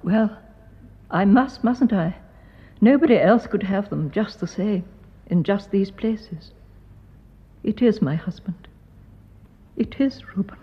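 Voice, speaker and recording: A bereaved English lady in a radio drama, near tears yet dignified. Her refined voice is husky and slow, hesitant between phrases. The recording is slightly muffled. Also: medium pitch, expressive. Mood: shaken, grieving.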